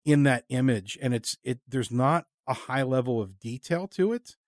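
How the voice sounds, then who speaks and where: A man with a mid-pitched voice hosts a relaxed, conversational interview. He talks earnestly and ramblingly, often halting as he works toward his point. The recording is close and dry. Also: no echo. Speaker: podcast host and interviewer. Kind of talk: casual interview chat.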